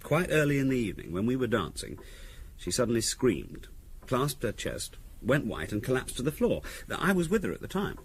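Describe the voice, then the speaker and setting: An actor playing a wry, teasing middle-class English husband in a 1970s radio drama, conversational with deliberate pauses. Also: male voice, medium pitch, sing-song. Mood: grave reminiscing. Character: teasing middle-class husband, dryly morbid, provocatively theorising. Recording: faint hiss on 1970s radio recording.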